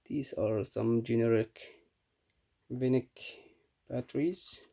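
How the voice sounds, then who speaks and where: A man giving a casual, unscripted hands-on product review in a halting, matter-of-fact way. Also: medium pitch, fairly even intonation, vocal fry, a little mellow, slight background noise.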